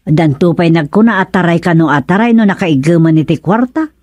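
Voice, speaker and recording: A woman's voice: fairly low pitch, brisk, dry recording, lightly acted. A woman playing a catty, outspoken village gossip in a radio comedy drama, with an Ilocano accent and a mocking manner. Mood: mocking, gossipy. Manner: animated, mocking.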